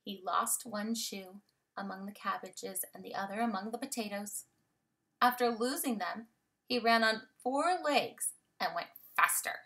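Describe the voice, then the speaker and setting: A woman reading a children's story aloud in an expressive, emphatic storytelling manner. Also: mid-range voice, measured pace. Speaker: story reader, teacher.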